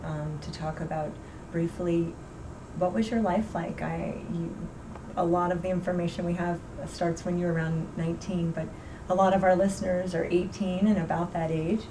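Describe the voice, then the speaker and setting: A woman interviewer with a somewhat low voice asks gentle, hesitant questions. She sounds set back from the microphone, and hiss runs underneath. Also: fairly even intonation, moderate pace, recorded from a distance. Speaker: interviewer host.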